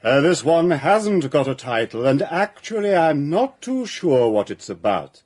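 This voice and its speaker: An actor playing an earnest, long-winded amateur poet, hesitant and apologetic, with a husky voice in a radio drama. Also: male voice, on the high side, big pitch swings, a little slow, darkish tone, exaggerated voice. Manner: apologetic, droning.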